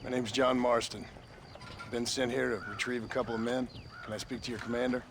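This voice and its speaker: An actor playing a weary, terse cowboy with an American accent and a dry, polite way of talking in a western game. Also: male voice, mid-range voice, little pitch movement, brisk, background noise, natural voice. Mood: dryly deferential. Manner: laconic, dry. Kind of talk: western video game acting.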